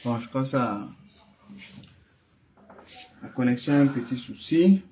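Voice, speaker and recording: A man with a West African French accent speaks as the host of a casual religious phone call. His voice sits at a medium pitch. He is a little hesitant and often repeats a phrase before moving on. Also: animated, unhurried, dark tone, slight background noise.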